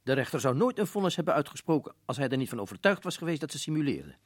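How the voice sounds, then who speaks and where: A man's voice: fairly high pitch, sing-song, rapid-fire, no echo, studio quality. A man playing a firm, matter-of-fact older prison governor in a radio play. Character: stern older male prison governor, a colonel.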